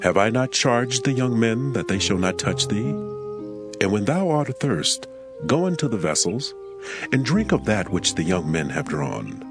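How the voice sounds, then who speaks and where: A man's voice: lowish voice, very animated, moderate pace, music in the background. A man playing a kindly, dignified landowner of biblical times in a solemn, formal scripture dramatization. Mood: kindly, instructing. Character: Boaz, kindly dignified landowner of ancient Bethlehem. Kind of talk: dramatized scripture reading, archaic English.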